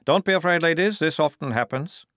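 A fretful, rule-minded army corporal, a step above the reader's own pitch; a man acts him out. Close, dry reading. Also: brisk, a bit bright, no room sound, close to an everyday voice. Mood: anxious.

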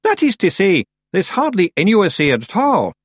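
A man voicing a tiny, nervous and cheeky fairy man with an excitable Scottish accent in a storybook reading. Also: high-pitched, a little fast, slightly rough, dry recording, clean studio sound, pitched above the performer's own voice, exaggerated voice. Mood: excitable, caught out. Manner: dialect-heavy, excitable, evasive.

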